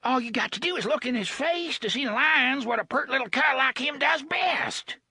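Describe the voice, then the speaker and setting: A man voicing a treacherous male thug with a high, whiny, sneering voice. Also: moderate pace, sharp tone, breathy edge, no echo, clean studio sound, full character voice. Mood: whining.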